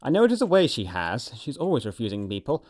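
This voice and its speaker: An actor playing a witty, flippant young upper-class English dandy with an arch, playful delivery, in an audio production of a stage comedy. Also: male voice, mid-range voice, wildly swooping pitch, fast talker, darkish tone. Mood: amused, flippant.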